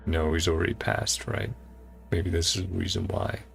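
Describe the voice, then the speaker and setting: A man's voice: low voice, fairly even intonation, normal speed, gravelly. A man casually commenting on a game as a streamer, muttering short asides and reading subtitles. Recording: game music behind the voice.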